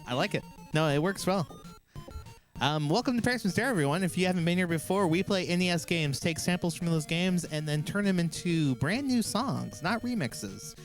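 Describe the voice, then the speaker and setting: Low-voiced woman hosting a music stream. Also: animated, moderate pace. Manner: playful, laughs easily. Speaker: livestream host. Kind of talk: casual live-stream chat. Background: faint video game music.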